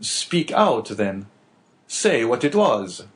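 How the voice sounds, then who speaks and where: A composed, formal priest from an audiobook who probes gently as a male reader puts him on. He speaks in a measured, coaxing manner. The pitch moves a good deal. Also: big pitch swings. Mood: probing. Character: calm, probing older male priest. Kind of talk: audiobook character voice.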